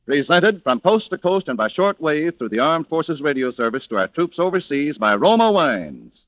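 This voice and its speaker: A man's voice: very animated, moderate pace, soft background music. A man announcing a commercial in polished, emphatic 1940s radio style. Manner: polished, emphatic sales pitch. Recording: muffled old 1940s radio recording.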